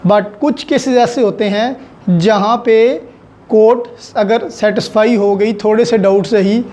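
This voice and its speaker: A law lecturer with a Pakistani accent explains with examples in deliberate, halting phrases, mixing in English legal terms. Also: medium pitch, fairly even intonation, darkish tone.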